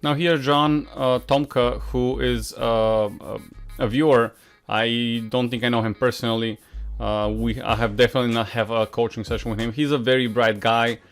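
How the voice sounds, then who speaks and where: A man's voice: mid-range voice, steady tone, normal speed, smooth, faint music behind. A Bulgarian man, a poker coach, answering viewer questions in a frank, casual way with many filler sounds; his first language is Bulgarian. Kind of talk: casual Q&A monologue.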